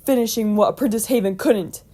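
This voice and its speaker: A woman's voice: a little high, a little fast, dark tone, close to an everyday voice. A woman voicing a grim, commanding male soldier with an earnest, emphatic manner, in a storybook reading. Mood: menacing.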